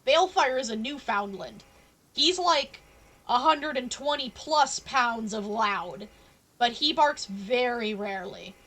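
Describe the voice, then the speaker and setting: A woman game streamer with a slightly high voice. She chats casually and informally to viewers as she plays. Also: expressive, unhurried, fairly bright tone. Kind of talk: casual live stream chat, reading along with game.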